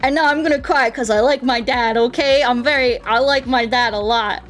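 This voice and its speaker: A woman chatting casually and telling stories as a streamer, with an expressive, playful delivery. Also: a little high, normal speed, faint music behind. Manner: expressive, playful, acts out quoted dialogue. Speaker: game streamer chatting with viewers. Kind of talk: casual chat, storytelling.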